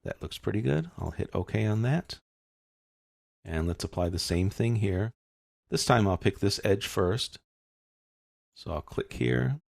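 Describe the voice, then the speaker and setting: Man, software tutorial narrator, gravelly, dry close sound, informal explanatory delivery. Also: lowish voice, lively intonation, measured pace, pauses often, no room sound. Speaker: instructor.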